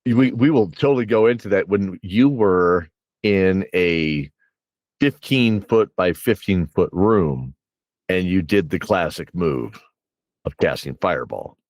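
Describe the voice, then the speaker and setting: A man's voice: deep voice, measured pace, darkish tone, dry recording. A man hosting an interview in a casual, jokey way, chuckling as he talks like a game master among friends. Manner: jokey, chuckles while talking. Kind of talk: casual bantering interview chat.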